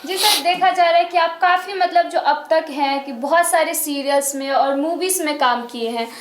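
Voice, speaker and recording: A woman's voice: high voice, normal speed. A woman interviewing a guest for a news channel, polite and deferential toward him. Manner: polite, deferential, says "sir" often. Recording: echoey room with faint noise.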